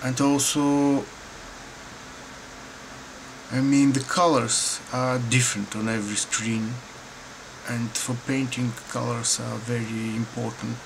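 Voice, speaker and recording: Slow-spoken Bulgarian man, halting and thoughtful. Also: mid-range voice, flat delivery. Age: middle-aged. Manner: slow, halting, thoughtful, many pauses and fillers. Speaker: painter and filmmaker. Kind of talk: answering interview questions to camera. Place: dim room indoors at home. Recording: clear room noise behind.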